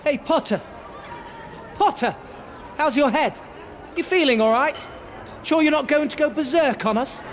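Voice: high-pitched, unhurried, pauses often. An actor playing a sneering teenage schoolboy bully, jeering and calling out in a dramatised audiobook. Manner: jeering, calling out across a hall. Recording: crowd murmur, faint ambient noise.

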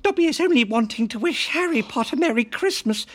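A woman voicing an eager, anxious male house-elf with a squeaky, servile way of talking, reading a storybook aloud. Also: animated, brisk. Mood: eager, timid. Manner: servile, speaks of himself in third person.

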